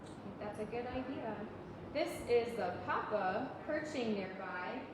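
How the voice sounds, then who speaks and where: A woman's voice: fairly high pitch, animated, a little slow. A woman leading story time as a children's librarian, talking simply to preschoolers, asking them questions and reading aloud. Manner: simple child-directed talk with frequent questions. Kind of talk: interactive read-aloud to preschoolers. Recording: very echoey room, distant mic, noisy.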